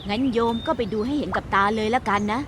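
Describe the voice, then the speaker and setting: A woman's voice: a little high, fast, heavy background noise, close to an everyday voice. A woman voicing a composed, earnest young novice monk boy in a cartoon, speaking politely and wisely. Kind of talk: cartoon voice acting.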